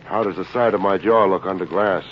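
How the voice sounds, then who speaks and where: An actor playing a wisecracking, deadpan private investigator with an American accent, in the style of fifties radio detective drama. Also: male voice, low voice, a little fast, slight background noise, natural voice. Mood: sardonic. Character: wry, hard-boiled male private investigator. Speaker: radio detective lead and narrator. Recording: dull vintage radio recording, music behind.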